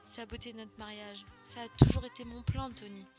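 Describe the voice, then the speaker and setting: A woman's voice: a little high, very animated, normal speed, dark tone. A woman reading the lines of a scheming young bride in a video game, with game music playing behind.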